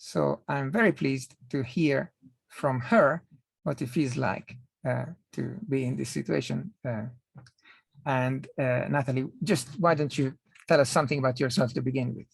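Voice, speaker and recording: Gravelly-voiced man interviewing a student. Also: mid-range voice, steady tone, moderate pace, no echo. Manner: polite, precise, with frequent hesitations. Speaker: interviewer and host. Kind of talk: interview, scripted intro.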